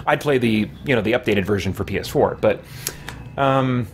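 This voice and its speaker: A man in his thirties or forties chatting casually on a game livestream, thinking aloud in a halting way. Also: mid-range voice, a little hiss or hum. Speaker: video game live streamer. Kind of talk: casual livestream chat while gaming. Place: small indoor room with shelves.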